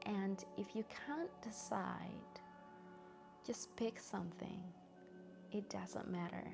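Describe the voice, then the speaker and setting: A woman guiding a meditation in a gentle, soothing, soft-spoken way with long pauses. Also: medium pitch, gravelly. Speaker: meditation guide. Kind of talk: guided meditation narration.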